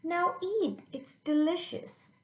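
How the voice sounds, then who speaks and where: Woman voicing an emphatic, doting storybook grandma, high-pitched. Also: slow pace, reverberant. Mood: surprised, indignant. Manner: exclaiming, endearing, emphatic. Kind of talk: read-aloud children's storybook.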